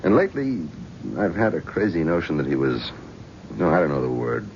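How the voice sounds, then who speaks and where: An actor playing a middle-aged devoted friend, gentle, wry and rueful. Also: male voice, low-pitched, very animated, brisk, vocal fry, slight background noise, exaggerated voice. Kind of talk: 1970s radio drama acting. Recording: dull vintage radio broadcast.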